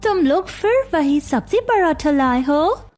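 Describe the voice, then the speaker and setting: Woman acting a young cartoon child, very high voice, slow delivery, whiny, stubborn, complaining. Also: sing-song, a little mellow, faint music behind, heavily acted. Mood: boastful. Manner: pleading, complaining. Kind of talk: Hindi cartoon story dialogue.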